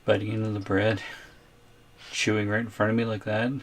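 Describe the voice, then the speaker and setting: A man's voice: lowish voice, completely flat delivery, brisk, a little hiss or hum, natural voice. A man playing a reluctant, nervous captive boyfriend in an ASMR roleplay, hesitant and mildly grumbling. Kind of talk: ASMR roleplay audio drama.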